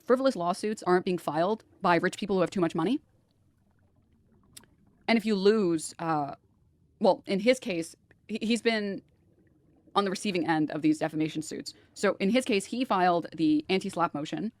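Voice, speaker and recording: A woman's voice: fairly low pitch, moderate pace, a little mellow, no room sound. Lady streamer explaining, exasperated and sarcastic, dry close mic.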